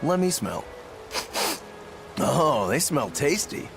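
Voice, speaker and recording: Um ator interpretando um espadachim descontraído e alegre, de fala franca e brincalhona. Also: male voice, fairly high pitch, sing-song, slow pace, creaky, noisy background, exaggerated voice. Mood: excited. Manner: casual, blunt, playful. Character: laid-back cheerful male swordsman. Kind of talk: game dub acting.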